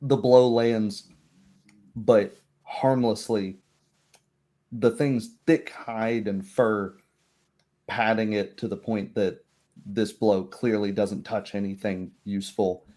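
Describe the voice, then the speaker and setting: A man narrating a tabletop roleplaying game as game master, in a measured way. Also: lowish voice, fairly even intonation, mellow.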